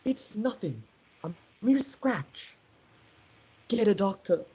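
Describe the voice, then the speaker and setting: Woman as slow, husky, taunting Mercutio. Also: medium pitch, big pitch swings, dark tone, exaggerated voice. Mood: wounded, bitter. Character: Mercutio, taunting, theatrical male swordsman. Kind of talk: student readers theatre performance. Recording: muffled lo-fi home recording.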